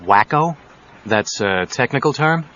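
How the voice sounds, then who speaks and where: A man's voice: mid-range voice, animated, measured pace, a bit bright. An actor playing a self-assured, wealthy leading man in an audio drama, speaking in a wry, understated way. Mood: wry, curious. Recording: dull cassette audio, faint noise.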